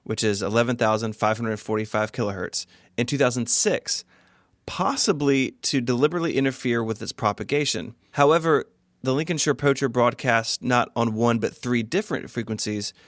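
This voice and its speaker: Male podcast co-host, conversational narration, mid-pitched voice, dry close-miked sound. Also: moderate pace, dry recording.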